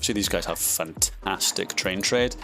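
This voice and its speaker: A man with a lowish voice talks through a strategy game as he plays, thinking aloud with plenty of ums and half-finished restarts. He breaks into sudden surprised outbursts when something catches his eye. A faint music bed plays under his voice. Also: a little slow. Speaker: gaming commentator. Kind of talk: casual strategy game commentary.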